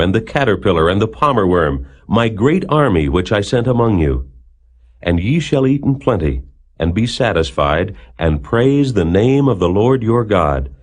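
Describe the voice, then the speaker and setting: A man with a slightly low voice reads scripture aloud as a narrator. His delivery is solemn and declamatory, rising and falling widely across carefully measured phrases. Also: darkish tone. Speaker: Bible narrator.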